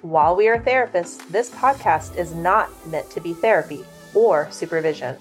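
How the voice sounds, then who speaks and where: A woman reading a scripted podcast intro in a measured, deliberate way. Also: fairly low pitch, expressive, poor audio. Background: faint music.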